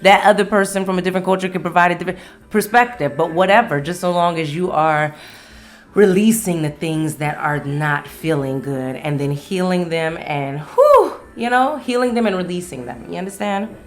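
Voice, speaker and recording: A woman's voice: fairly low pitch, animated, normal speed. A woman hosting a solo podcast in a casual, candid, playful way, swearing freely. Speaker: podcast host.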